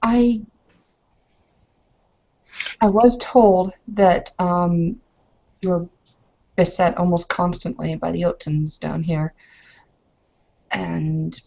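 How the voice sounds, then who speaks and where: A woman's voice: fairly low pitch, fairly even intonation, slow pace, gravelly, long pauses, mellow, highs cut off, full character voice. A woman playing an earnest, polite young Bavarian bride in a tabletop game, asking things in a hesitant, curious way. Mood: puzzled, eager.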